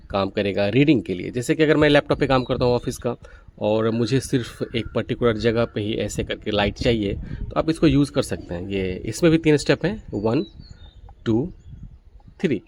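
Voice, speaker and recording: A man hosting a gadget review, explaining things methodically, step by step. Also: mid-range voice. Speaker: gadget reviewer, video host. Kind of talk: product review walkthrough, explanatory.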